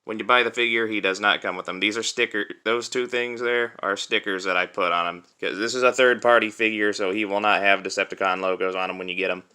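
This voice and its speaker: A young man giving a casual, unscripted toy review in a chatty, halting way, recorded on a cell phone. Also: lowish voice, steady tone, sharp tone, clean tone. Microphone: cell phone used as camera.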